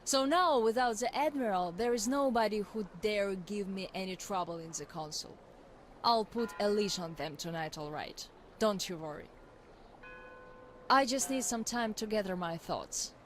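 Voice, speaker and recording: An actress voices a proud young survivor woman in a post-apocalyptic game. She speaks in a medium pitch, blunt and confiding, as if looking back on hard years. Faint ambient noise sits behind her. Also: normal speed, natural voice, rough recording. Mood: matter-of-fact, resolute. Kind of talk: reflective scripted game monologue.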